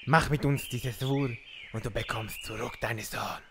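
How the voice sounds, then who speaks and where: A calm revolutionary witch acted by a man speaks in a mid-pitched voice with a Spanish accent. The phrasing is deliberate and broken, solemn in a comic radio-play way, with faint outdoor noise behind. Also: creaky, lightly acted. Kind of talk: comic audio drama acting.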